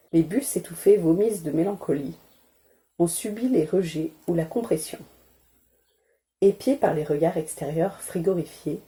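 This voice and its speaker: Very mellow, soft-spoken woman reading poetry. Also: fairly low pitch. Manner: measured, deliberate, soothing delivery. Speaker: ASMR creator reading poems. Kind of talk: slow, calm ASMR poetry reading, soft-spoken, not whispered.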